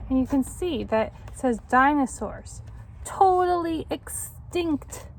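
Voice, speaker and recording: A woman reads a picture book aloud to children as a tutor would. Her voice is fairly high and she takes her time, stopping to instruct and question her young listeners. There is some background noise. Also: a little mellow.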